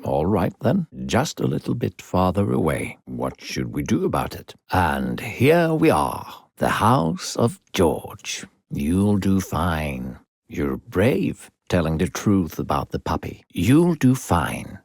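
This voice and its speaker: A man voicing an unseen, coaxing game narrator with a gravelly, husky voice and a patronizing, falsely kindly, theatrical manner. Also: sits low, huge pitch swings, slow, no room sound, clean studio sound, full character voice. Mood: coaxing, sly. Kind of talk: theatrical video game narration addressed to a child.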